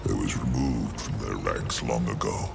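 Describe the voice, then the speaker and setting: An actor playing an ancient, solemn otherworldly lord in a video game, with grave, deliberate, formal and theatrical delivery in a gravelly voice. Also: male voice, fairly even intonation, very noisy, heavily acted. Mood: solemn, earnest. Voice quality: very deep, gravelly. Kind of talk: video game cutscene acting. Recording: loud music behind the voice. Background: loud music.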